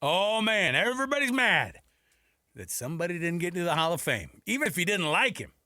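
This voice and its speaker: A man's voice: sits high, sing-song, a little fast, bright, forceful tone, dry recording, studio quality. A man hosting sports talk radio in a casual, chatty, joking way.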